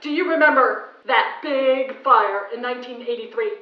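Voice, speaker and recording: A woman's voice: on the high side, normal speed, lightly acted. A woman playing a fussy, indignant small-town busybody caller who speaks in an emphatic, scolding way, for a comic radio drama. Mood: earnest, insistent. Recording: echoey, muffled phone-in sound.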